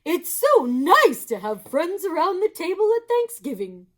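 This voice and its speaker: A woman's voice: very high-pitched, big pitch swings, a little slow, voice pushed up, exaggerated voice. A woman doing a gushing, fussy lady moose. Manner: bright, fussing and exclaiming. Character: gushing, motherly female moose hostess.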